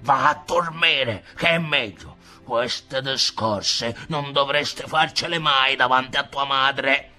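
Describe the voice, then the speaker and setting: A man's voice: medium pitch, takes it slowly, hardly any pauses, bright, forceful tone, soft background music. A man voicing a stern, weary old grandfather who scolds his grandson in a storybook reading.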